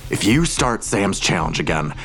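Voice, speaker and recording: A man playing a weary, irritable investigator in an audio drama, curt and gruff. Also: low-pitched, sing-song, moderate pace, fairly bright tone, soft background music, full character voice.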